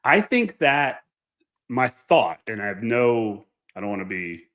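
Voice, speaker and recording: A man's voice: medium pitch, very animated, a little slow, fairly bright tone. A man, an airline pilot on a casual panel, talking informally and often breaking off mid-thought to hedge.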